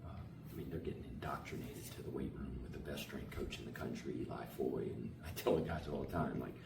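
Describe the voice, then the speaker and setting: A very deep-voiced man, a basketball coach, talks in a loose, energetic, slangy way, repeating words and filling pauses. He sounds set back from the mic in an echoey space, with steady background noise. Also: creaky, recorded from a distance. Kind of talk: casual interview answers.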